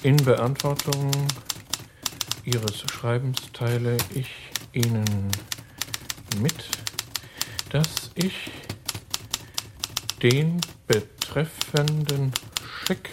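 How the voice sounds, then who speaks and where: A man's voice: mid-range voice, very noisy. An actor playing a formal, businesslike man reading official correspondence in a radio drama. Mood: slow, deliberate.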